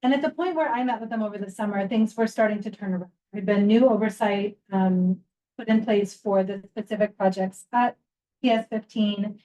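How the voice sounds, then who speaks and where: A woman with a soft voice giving a school update in an online meeting, with fillers between phrases. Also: mid-range voice, fairly even intonation, poor audio. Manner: halting, with fillers. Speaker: council member giving an update. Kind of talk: virtual meeting report.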